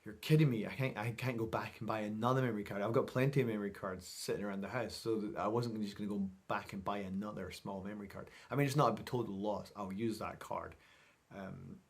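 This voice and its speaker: A man's voice: lowish voice, fairly even intonation, fast talker. A middle-aged man talking casually to camera in a chatty, rambling, informal vlog style. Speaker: vlogger.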